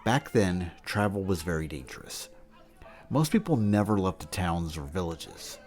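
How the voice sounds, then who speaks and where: A man playing a learned character who patiently explains old myths and customs in a measured, didactic way, in an audio drama. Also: sits low, animated, a little mellow. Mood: patient, instructive.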